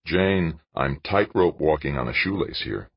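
A man's voice: extremely low pitch, lively intonation, measured pace, slightly rough, dry recording, dull audio, exaggerated voice. A man playing a stubborn, hot-tempered businessman in an audiobook, blunt, grumbling and combative. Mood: exasperated.

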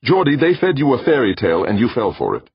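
Man acting an angry, scornful brother, husky. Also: a bass voice, very animated, a little fast, no room sound, highs cut off, full character voice. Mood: angry disbelief. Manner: growling, snapping. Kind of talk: audiobook character voice.

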